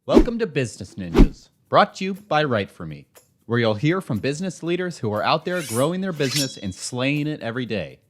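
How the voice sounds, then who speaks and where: A man reading a scripted podcast intro with energetic announcer delivery. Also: medium pitch, fairly quick, noisy background. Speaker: show announcer. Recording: intro voice over music.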